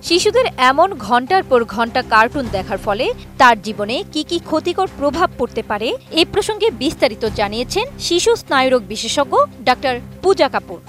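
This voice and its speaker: A woman's voice: high-pitched, sing-song, normal speed, a bit bright, soft background music. A woman with a Bangladeshi accent narrating a scripted news report as a reporter.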